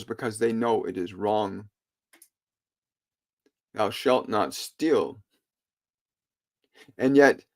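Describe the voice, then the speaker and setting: A man delivering a solemn spiritual sermon in formal, oratorical phrasing, breaking it into short, halting phrases with frequent pauses. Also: mid-range voice, a little mellow. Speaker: spiritual channeler delivering a message.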